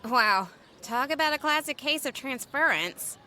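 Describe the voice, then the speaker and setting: A woman playing a teasing, outspoken but supportive young female friend in an audio drama, expressive and blunt. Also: sits high, a little slow, slight background noise, exaggerated voice. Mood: blunt, concerned.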